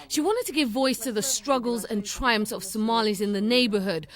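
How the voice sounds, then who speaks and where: A woman presenting a television news magazine, reading scripted narration in a measured, formal way. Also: a little high. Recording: voice-over with music behind.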